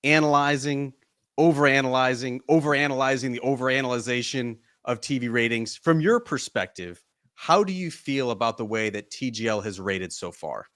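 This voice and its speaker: A man co-hosts a podcast in a relaxed, wry tone and keeps dropping joking asides. His voice sits at an ordinary pitch and sounds dry and close. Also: normal speed, dry recording. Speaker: podcast host. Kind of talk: casual chat.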